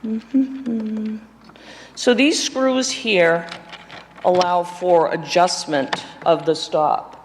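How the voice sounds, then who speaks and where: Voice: mid-range voice, steady tone, extremely slow, long reverb tail, a little hiss or hum. A window restoration instructor giving a hands-on workshop demonstration, explaining each part step by step while handling tools, with chatty self-deprecating asides to a live room. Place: indoor workshop room before an audience.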